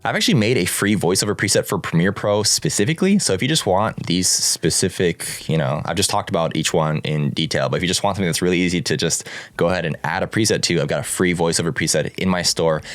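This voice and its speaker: A young man with a low, bassy voice, talking through a video editing tutorial in a relaxed, explanatory way. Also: no echo. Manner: relaxed, explanatory, a little rambling.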